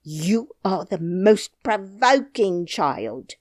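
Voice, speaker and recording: A woman's voice: lowish voice, big pitch swings, very slow, darkish tone, dry recording, clean studio sound, exaggerated voice. A woman voicing a frightened, angry female nurse who scolds shrilly in an audiobook. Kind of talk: audiobook character voice.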